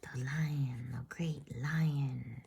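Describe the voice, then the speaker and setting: Storybook beaver, male, earnest and folksy, played by a woman: breathy whisper, creaky edge, measured delivery. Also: rumbling low, steady tone, warm tone, full character voice. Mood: stern. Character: kindly, emphatic male talking beaver, reverent and folksy. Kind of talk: whispered bedtime storybook reading.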